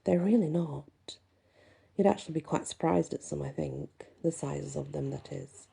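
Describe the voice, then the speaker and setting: Very mellow, soft-toned woman reading aloud. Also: sits low, normal speed, gravelly, breathy edge. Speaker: host and reader. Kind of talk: reading a folklore book aloud with casual asides.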